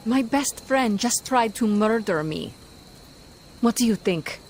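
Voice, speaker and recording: Proud, bitter sorceress, animated pitch. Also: female voice, slow pace, a little hiss or hum. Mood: hurt, cold anger. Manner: curt, scornful, formal phrasing. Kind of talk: dramatic video game acting. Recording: faint music behind.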